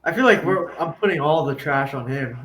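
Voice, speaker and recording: A man's voice: on the high side, expressive, normal speed, a bit bright, poor audio. A man who is a fighter, teasing his brother with dry jokes in a casual interview. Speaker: fighter, guest.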